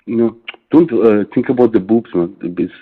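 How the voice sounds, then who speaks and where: A man on a tinny phone line, calling into a heated debate. His voice sits low, and he speaks haltingly, stopping often and repeating the same phrases. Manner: halting, many pauses, repeats himself. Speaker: caller.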